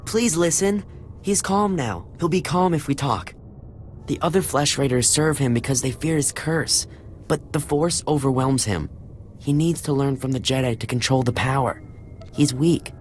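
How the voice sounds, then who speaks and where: A woman's voice: extremely low pitch, moderate pace, darkish tone, a little hiss or hum. A woman playing a frightened captive interpreter in a video game, hesitant, earnest and pleading. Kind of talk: dramatic video game acting.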